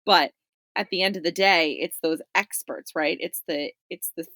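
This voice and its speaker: A woman's voice: fairly low pitch, moderate pace, pushed and bright, no room sound, clean studio sound. A middle-aged woman talking as a podcast guest in a chatty, emphatic way, often checking in with "right?". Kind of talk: conversational discussion of parents' views.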